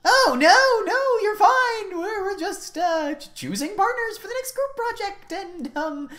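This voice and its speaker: A woman's voice: on the high side, sing-song, normal speed, a bit bright. A woman voicing a timid, flustered female monster schoolteacher in a video game, hesitant and stammering nervously.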